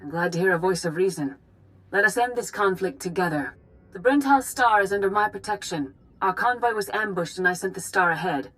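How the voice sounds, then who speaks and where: An actress playing a calm, authoritative Jedi grand master in a video game, grave and formal. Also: female voice, mid-range voice, a little fast, close to an everyday voice, low-quality recording. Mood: diplomatic, firm.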